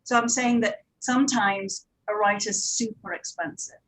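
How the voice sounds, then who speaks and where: A woman speaking as a producer on a panel, explaining things step by step with hesitant pauses. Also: medium pitch, a bit bright, low-quality recording. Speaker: audio drama producer and director, panelist.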